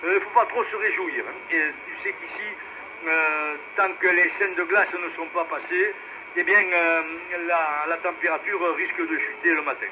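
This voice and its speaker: A man's voice: a little high, fairly even intonation, moderate pace. A man hosting an amateur radio net in casual, hesitant, rambling talk over a two-way radio. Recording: noisy, muffled VHF radio relay.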